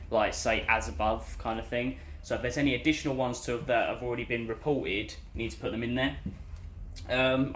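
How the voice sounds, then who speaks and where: A young man with a London accent chatting casually as a bus driver, his voice hoarse and croaky, rambling with lots of fillers. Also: mid-range voice, a bit bright, echoey room, a little hiss or hum. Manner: rambling, filler-heavy, loses his train of thought. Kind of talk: casual vlog chat. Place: inside a bus cab.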